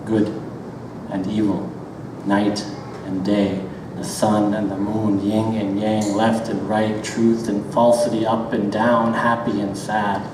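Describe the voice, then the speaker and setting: A man giving a dramatic, deliberate literary reading of first-person prose, with drawn-out pauses and rhythmic repetition. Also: fairly low pitch, little pitch movement. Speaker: author reading own work aloud. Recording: very echoey hall, distant mic, background noise.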